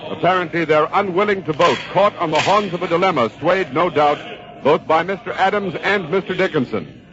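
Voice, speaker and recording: An actor playing a solemn radio reporter, giving formal, measured commentary in the style of golden age radio. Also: male voice, on the high side, natural voice. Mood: hushed suspense. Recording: dull vintage radio broadcast, crowd murmur.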